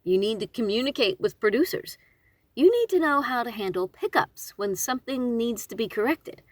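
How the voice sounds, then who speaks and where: A woman with a slightly high voice that swings freely up and down, recorded close and dry. She talks informally as a narrator giving advice on her own channel. Her delivery is conversational, expressive and emphatic, with self-deprecating asides. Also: moderate pace, no room sound. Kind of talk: informal instructional talk to camera.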